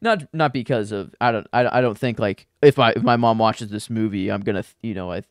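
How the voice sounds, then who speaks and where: A man's voice: on the low side, fairly quick, no echo, studio quality. A man co-hosting a podcast with a stuffed-up nose, rambling about a film with many restarts. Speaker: podcast co-host. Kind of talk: casual film discussion.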